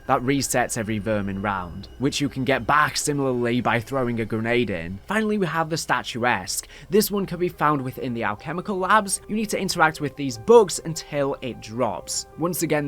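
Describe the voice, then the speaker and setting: Guy doing a step by step game guide voiceover, voice a bit high, music behind him. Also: fairly bright tone. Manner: methodical, step-by-step instructional delivery. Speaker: video game guide host. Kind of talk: scripted guide narration. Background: music under the voice-over.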